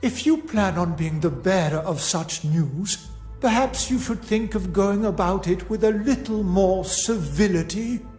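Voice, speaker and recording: A man's voice: high voice, unhurried, darkish tone, roomy sound. A man voicing a blunt, pious older bishop in a video game, haughty and curt in manner. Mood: guarded, indignant. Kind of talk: scripted video game dialogue acting. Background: music.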